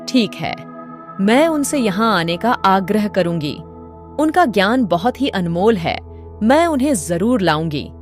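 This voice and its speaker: A woman as earnest devout disciple, medium pitch. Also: very animated, a little slow, soft background music. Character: devout, respectful female disciple and queen's companion. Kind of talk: devotional animated story acting.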